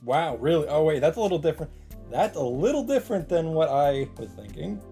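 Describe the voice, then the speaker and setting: Male game host, fairly high voice, casual story recap and reactions, light music bed. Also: big pitch swings, fairly quick, warm tone. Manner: swears casually. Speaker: host of a visual novel playthrough.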